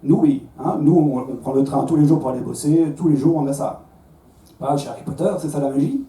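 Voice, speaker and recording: Belgian stand-up man, warm roomy stage sound. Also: medium pitch, fairly quick, gravelly. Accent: Belgian French. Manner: conversational, self-mocking, with stammered restarts. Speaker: stand-up comedian. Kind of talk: stand-up comedy set. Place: comedy club stage. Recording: roomy club sound from a distance, faint crowd.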